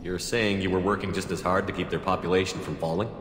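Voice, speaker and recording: Military commander, deep voice, fast delivery, blunt and probing, video game drama, echoing hall, music behind. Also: male voice, little pitch movement, fairly bright tone, a little hiss or hum. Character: male military commander, direct, principled, challenging.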